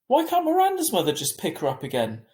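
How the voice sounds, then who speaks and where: A man's voice: sits high, wildly swooping pitch, fast talker, darkish tone, echoey room, pitched above the performer's own voice. A man voicing a worried, protective mother of a teenager in a storybook reading, fussing and pressing.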